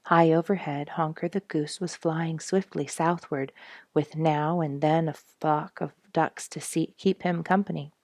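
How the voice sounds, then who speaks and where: A woman reading a children's story aloud as scripted narration, in a gentle storytelling manner. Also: sits low, fairly even intonation, measured pace, no echo, studio quality. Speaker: storybook reader.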